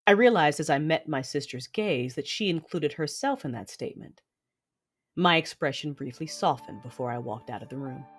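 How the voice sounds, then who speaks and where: A woman's voice: sits low, animated, normal speed, husky, soft background music. A woman playing a proud, hot-tempered Sith warlord mother, narrating an audio drama in the first person with commanding intensity. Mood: brooding. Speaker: narrator and lead character.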